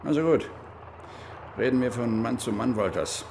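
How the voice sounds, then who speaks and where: A man's voice: mid-range voice, normal speed, vocal fry, noisy background, lightly acted. An actor playing a middle-aged army major and father in a radio crime drama, talking in a paternal yet menacing way with probing little tag questions. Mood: pressing.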